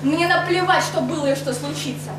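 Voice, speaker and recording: Young female poet on a club stage, high-pitched, recorded from afar with room noise. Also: fairly quick. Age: young adult. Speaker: poet reading her own poems. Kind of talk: poetry recitation. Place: stage of a rock cafe. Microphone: far camera mic, no handheld mic. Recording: echoey club room, far mic, audible noise.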